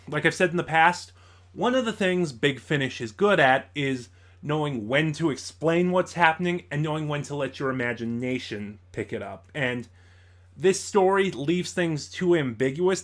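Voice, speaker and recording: A man hosting a casual review, talking conversationally and haltingly as he thinks aloud. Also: mid-range voice, fairly bright tone. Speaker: YouTube reviewer, host. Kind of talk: casual audio drama review commentary.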